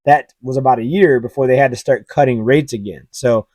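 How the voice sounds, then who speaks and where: Male finance commentator, hoarse and a bit raspy, mellow tone, casual and direct, dry booth sound. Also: mid-range voice, a little fast, no room sound. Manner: conversational, asks viewers directly, many fillers. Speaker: finance YouTuber. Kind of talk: casual commentary over charts.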